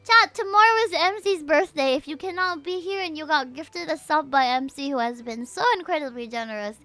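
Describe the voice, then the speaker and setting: A woman livestreaming a game and chatting with viewers in an animated, emphatic, gushing way, with music behind her. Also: high-pitched, fairly quick. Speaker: game streamer. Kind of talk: livestream chat reacting to a game.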